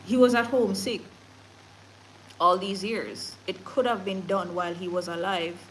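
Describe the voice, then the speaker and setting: A woman's voice: medium pitch, fairly even intonation, a little slow, slight background noise, rough recording. A woman from Jamaica with a Jamaican accent, hosting a casual livestream, chatting with viewers and speaking emphatically, often laughing and slipping into patois. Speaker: livestream news host. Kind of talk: casual livestream chat reading comments and news.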